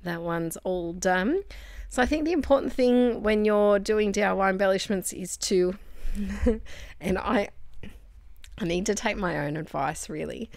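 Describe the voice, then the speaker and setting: A woman from Australia chatting casually while making crafts, rambling and correcting herself, her voice a little croaky. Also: mid-range voice, a little slow, darkish tone, no room sound, clean studio sound. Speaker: crafting vlogger.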